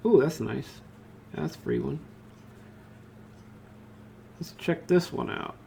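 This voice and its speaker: A man narrating a step-by-step software tutorial in an informal way, thinking aloud as he demonstrates. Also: fairly high pitch, slow, creaky, slight background noise.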